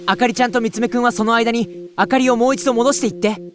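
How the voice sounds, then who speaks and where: A woman voicing a cheerful, bossy teenage boy who acts as a big brother, talking in a brisk, joking, scolding way. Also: on the high side, over music. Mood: upbeat. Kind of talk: anime-style radio drama acting.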